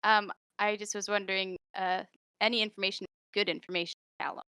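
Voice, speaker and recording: A woman's voice: medium pitch, flat delivery, fairly quick, bright, forceful tone, smooth. A woman playing a tabletop roleplay, improvising casually and somewhat tentatively. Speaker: roleplay player.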